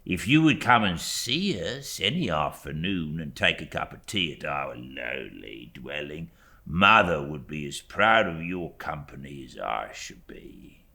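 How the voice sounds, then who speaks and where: A man voicing a fawning, falsely humble young clerk who speaks in a slow, insistent, obsequious way for an audiobook. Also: extremely low pitch, very animated, vocal fry, bright, forceful tone, voice pushed down, heavily acted. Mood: fawning humility. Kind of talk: audiobook character voice.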